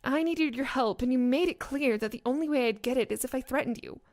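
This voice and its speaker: A woman's voice: sits high, fairly quick, a little mellow. A woman playing a cool, determined professional who steadies her friend, dryly teasing, in an audio drama. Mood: sheepish.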